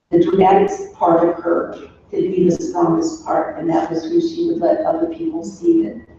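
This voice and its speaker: A woman's voice: lowish voice, mellow. A woman in her sixties giving an informal, rambling remembrance full of anecdotes and trailing asides, recorded from a distance in a roomy space. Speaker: daughter giving a remembrance at a funeral. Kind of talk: informal spoken eulogy, reminiscing. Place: echoey room, away from the microphone. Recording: roomy, distant, muffled recording with faint noise.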